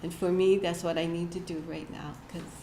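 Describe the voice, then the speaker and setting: A woman giving a short inspirational church message, gentle and halting, often laughing at herself. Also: on the low side, flat delivery. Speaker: church speaker. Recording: echoey hall with faint room noise.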